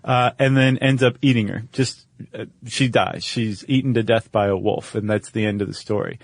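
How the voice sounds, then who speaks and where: A man's voice: on the low side, fairly even intonation, moderate pace, gravelly, no echo. A man co-hosting a podcast, telling stories in a casual, wry, joking way. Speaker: podcast co-host. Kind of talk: casual conversational storytelling.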